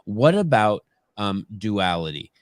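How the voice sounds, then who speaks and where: A man hosting a casual interview podcast, a native Armenian speaker, talking in a halting way with repeated phrases and restarts. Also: fairly low pitch, sing-song, dry recording, clean studio sound. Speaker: podcast host.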